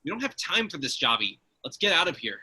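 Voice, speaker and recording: A man plays a harried ordinary guy in a table read. His voice is very bright and forward, husky at the edges and a little high for a man. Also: big pitch swings, quick pace, exaggerated voice. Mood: uneasy, dry. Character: Joe, harried everyman trying to save his job.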